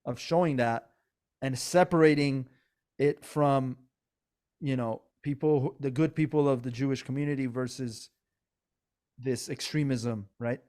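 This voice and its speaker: A man's voice: mid-range voice, unhurried, darkish tone. Earnest rambling man with a Persian accent. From Iran. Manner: earnest, rambling, many restarts. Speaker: podcast co-host. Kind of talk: casual political chat.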